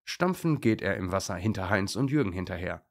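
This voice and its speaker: A male storyteller reads a scripted children's tale. His voice is low, with a distinct gravelly creak. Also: lively intonation, a little fast.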